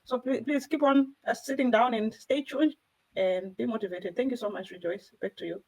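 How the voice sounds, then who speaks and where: A woman's voice: fairly high pitch, normal speed, a little mellow, breathy edge, poor audio. A woman speaking earnestly and emphatically as a co-host on a discussion panel. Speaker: co-host and business owner. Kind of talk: personal account on a discussion panel.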